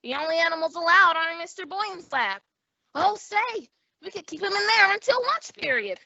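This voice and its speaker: A woman's voice: very high-pitched, big pitch swings, normal speed, vocal fry, pushed and bright, no room sound, full character voice. A woman playing an eager, gawky teenage schoolboy in an old-time radio comedy, earnest and gee-whiz. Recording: dull lo-fi radio drama audio.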